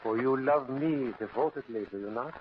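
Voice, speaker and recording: An actor playing a possessive, menacing stage mind reader in old-time radio thriller style, with a commanding, silky, deliberate delivery. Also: male voice, mid-range voice, close to an everyday voice. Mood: silky menace. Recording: tinny, noisy old radio recording.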